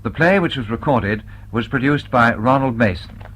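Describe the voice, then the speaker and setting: A man's voice: medium pitch, lively intonation, moderate pace, fairly bright tone, breathy edge, poor audio. A man with a Received Pronunciation accent reading a formal scripted announcement as a radio continuity announcer. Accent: British Received Pronunciation.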